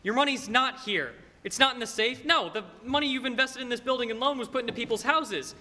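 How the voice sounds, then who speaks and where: A young man acting a flustered small-town loan man, high and bright in voice, pleading and stammering with earnest emphasis. It is radio play acting, recorded in a reverberant hall with some noise. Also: a little fast, heavily acted. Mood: pleading, urgent. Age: teens or young adult. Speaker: high school student actor.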